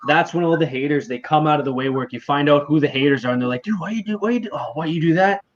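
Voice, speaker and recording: A man's voice: a little high, fast. A man in his twenties in a casual live group chat, friendly and encouraging, calling people "dude" and "man". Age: 20s. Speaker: livestream guest. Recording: dull lo-fi audio with faint hiss.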